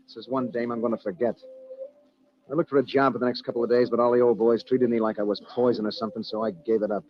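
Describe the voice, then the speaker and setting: An actor playing a tough, bitter drifter in an old-time radio noir drama, talking in clipped, terse hardboiled phrases. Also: male voice, mid-range voice, flat delivery, fast, close to an everyday voice. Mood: brooding. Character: tough, bitter young man drifter back from a year away. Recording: muffled old radio recording, music behind. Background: music.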